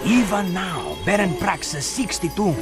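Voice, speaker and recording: An actor voicing an irritable, sarcastic talking bird in a video game, swinging between pompous mystical pronouncements and snappy complaints. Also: male voice, sits high, sing-song, a little slow, loud music behind the voice. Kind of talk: animated video game cutscene acting.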